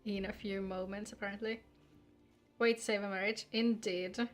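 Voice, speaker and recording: A woman's voice: medium pitch, level tone, normal speed, faint music behind. A woman live-streaming a game, chatting casually and teasingly with viewers in sweary, informal talk.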